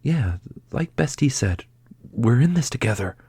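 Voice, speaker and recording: A man's voice: mid-range voice, sing-song, unhurried, mellow, breathy edge, no room sound, studio quality, voice pushed up, full character voice. A man voicing an eager, easily flustered young protector, a loyal best friend with a hesitant, boyish manner, in a fantasy roleplay. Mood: supportive. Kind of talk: ASMR fantasy roleplay acting.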